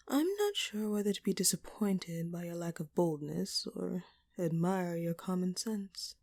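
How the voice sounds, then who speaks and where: A woman playing a dominant, hungry female vampire in an audio roleplay, taunting and menacing her prey in a condescending, breathy voice. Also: fairly low pitch, darkish tone, heavily acted. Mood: sultry, menacing. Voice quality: breathy, often dropping toward a whisper. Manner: slow, teasing, condescending, menacing. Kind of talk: audio roleplay acting, addressed to the listener.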